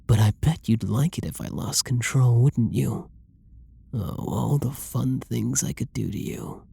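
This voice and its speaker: A performer playing a flirty, dominant, possessive villain in an intimate ASMR roleplay, with a breathy, husky, teasing purr that often drops toward a whisper. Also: mid-range voice, unhurried, warm tone, no echo, full character voice. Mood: aroused, teasing. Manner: teasing, drawling, pet-name-laden purr.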